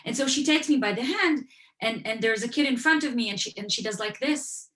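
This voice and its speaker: A woman's voice: a little high, a little fast, a bit bright. A woman who grew up in Western Europe, speaking as an author and guest at an online event, telling stories and explaining with everyday examples. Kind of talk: conversational talk at an online event. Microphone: video call. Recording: echoey room.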